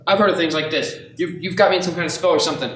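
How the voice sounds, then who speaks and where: An amateur actor playing an excitable everyman husband and father in a radio play, with a pleading, stammering delivery. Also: on the high side, racing, close to an everyday voice. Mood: bewildered, insistent. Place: echoey room, audio-only play. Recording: roomy echo, faint noise, clicks.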